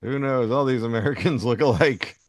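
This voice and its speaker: A man's voice: mid-range voice, normal speed, no echo. A man co-hosting a podcast in an easygoing way, chatting casually and reading comic lines aloud. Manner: easygoing, chuckles often. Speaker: podcast co-host.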